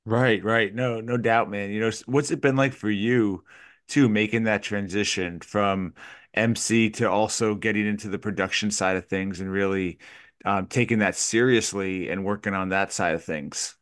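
A man's voice: fairly low pitch, steady tone, normal speed, a bit bright, no room sound, studio quality. A man hosting a casual podcast interview, speaking informally and often saying man and you know.

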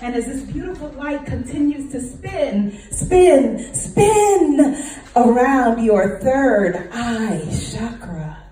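Slow, fervent woman in a very echoey room. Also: a little high, expressive, dark tone. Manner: fervent, effusive, incantatory repetition. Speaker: guided meditation leader. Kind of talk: guided meditation with spoken affirmations. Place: large indoor room with a group present. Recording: very echoey room, distant mic, noisy.

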